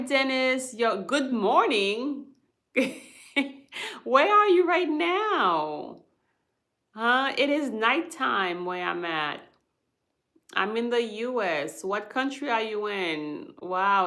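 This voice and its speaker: A woman's voice: on the high side, sharp tone. A woman hosting a live stream about hospice, explaining patiently and checking in with viewers after each point. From Haiti. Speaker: hospice worker and livestream host.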